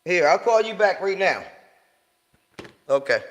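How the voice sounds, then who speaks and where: A man's voice: sits high, very animated, unhurried, fairly bright tone, in a large hall, low-quality recording. A man hosting a live show, talking loosely in slang with lots of fillers and restarts. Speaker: podcast host. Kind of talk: casual live show chat.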